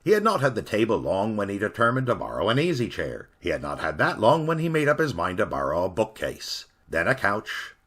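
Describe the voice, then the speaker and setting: A man narrating a Victorian ghost story in an expressive, dramatic reading. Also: lowish voice, brisk, few pauses, breathy edge.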